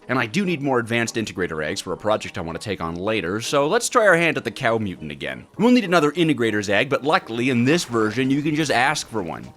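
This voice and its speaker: A man hosting a gaming video, narrating from a script with wry, jokey asides and an expressive delivery. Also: mid-range voice.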